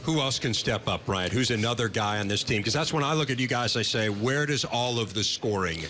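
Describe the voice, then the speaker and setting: A man's voice: medium pitch, fairly quick, sharp tone, a little hiss or hum. A man hosting a sports TV interview, asking conversational, direct questions. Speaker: sports TV host.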